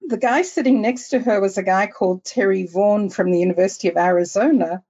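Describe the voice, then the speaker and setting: A woman's voice: mid-range voice, steady tone, quick pace, dark tone, dull audio. Zimbabwean-accented woman scientist in her sixties. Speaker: guest researcher. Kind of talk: interview answers, storytelling.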